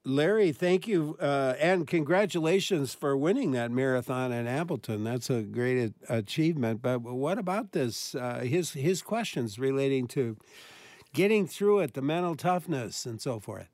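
A man's voice: mid-range voice, lively intonation, moderate pace, darkish tone, no room sound. A man hosting a call-in radio show, taking callers with many "uh"s between his words. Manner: friendly, many filler "uh"s.

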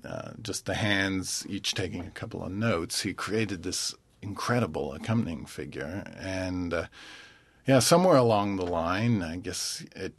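A man, a concert pianist, answering interview questions thoughtfully and pausing often to find his words. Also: deep voice, expressive, breathy edge, dry recording. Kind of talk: relaxed radio interview.

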